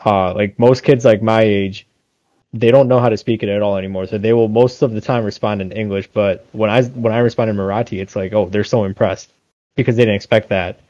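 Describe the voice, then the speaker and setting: Low-voiced young man, rambling casually. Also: steady tone, fairly quick, darkish tone, dull audio. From India. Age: 20s. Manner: rambling, heavy on fillers and self-corrections. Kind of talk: casual podcast chat.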